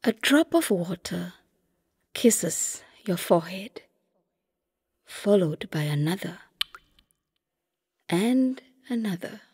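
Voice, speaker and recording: A woman narrating a scripted guided meditation softly and gently, often close to a whisper, with long unhurried pauses. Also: on the low side, lively intonation, no echo. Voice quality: soft, breathy, often near whisper.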